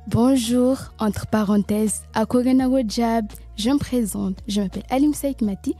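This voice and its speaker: Earnest young student, dark-toned. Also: female voice, on the high side, moderate pace, faint music behind. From Mayotte. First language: Kibushi. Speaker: student presenter. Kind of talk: school podcast presentation.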